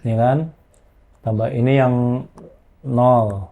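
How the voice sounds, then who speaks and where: A man explaining software in an informal, hands-on tutorial, using colloquial tags as he goes. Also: medium pitch, fairly even intonation, slow, creaky, phone-quality sound. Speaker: audio software trainer.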